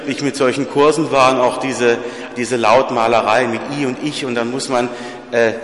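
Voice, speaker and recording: A man's voice: medium pitch, steady tone, moderate pace, fairly bright tone. Man, priest, sermon, slight stutter, earnest, reverberant church, roomy sound. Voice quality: mild stutter, repeats words. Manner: earnest, plain-spoken, rising to emphatic peaks. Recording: echoey church hall.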